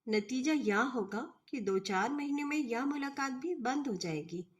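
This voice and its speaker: A woman reading the storybook dialogue of a suave, cynical male professor who argues bluntly and deliberately. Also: sits high, expressive.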